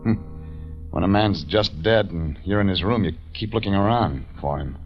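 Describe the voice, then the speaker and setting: A man acts as a hardboiled private detective from an old radio play. His voice sits low and his delivery is clipped, wry and tough, on a dull vintage recording with music behind. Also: normal speed, natural voice. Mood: terse, suspenseful. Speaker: actor playing the lead and narrator. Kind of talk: 1940s hardboiled detective radio drama narration.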